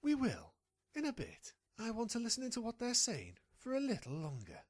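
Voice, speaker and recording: A man's voice: very high-pitched, wildly swooping pitch, fairly quick, exaggerated voice. A man voicing a bossy, scheming pony reporter who loves a scoop, in an amateur audio drama. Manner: scheming, scolding.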